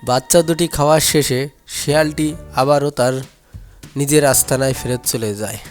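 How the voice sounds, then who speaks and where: A man's voice: medium pitch, moderate pace, soft background music. A man narrating a scripted children's animal story.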